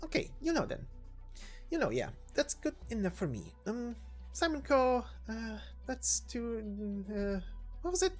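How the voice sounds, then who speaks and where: A woman's voice: fairly high pitch, very animated, unhurried, darkish tone, faint music behind, pitched above the performer's own voice. A woman voicing a chatty, cheeky young woman helper with slangy, breezy talk in a game story reading. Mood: explaining, casual. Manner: slangy, breezy, stumbling over big words.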